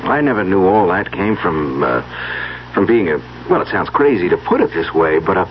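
Practical young husband: lowish, lively delivery, reassuring and curious, old radio play with muffled, lightly noisy sound. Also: male voice, moderate pace. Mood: impressed. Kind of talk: 1970s radio drama acting. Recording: dull, noisy 1970s radio recording.

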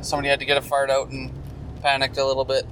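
A man's voice: mid-range voice, monotone, rapid-fire, a bit bright. A man bantering casually with a friend in a moving car, joking and rambling with lots of fillers. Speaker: co-host. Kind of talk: casual chat. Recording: noisy car with road noise.

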